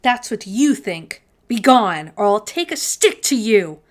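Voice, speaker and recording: A woman's voice: medium pitch, lively intonation, a little slow, close to an everyday voice. A woman voicing a plucky man in a storybook who taunts with feigned nonchalance and defiance. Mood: defiant, triumphant.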